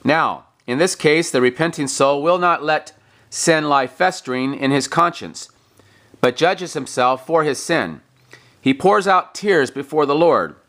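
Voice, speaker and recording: Male preacher, slightly high-pitched voice, for a scripted devotional reading. Deliberate, emphatic delivery with declamatory phrasing. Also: lively intonation, fairly bright tone. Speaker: preacher reading a religious text aloud.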